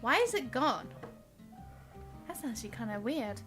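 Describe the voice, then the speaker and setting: A woman chats casually as she streams a game. Her voice is high and bright, rising and falling in an animated, giggly ramble. Soft game music plays behind her. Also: moderate pace. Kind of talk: casual live game commentary.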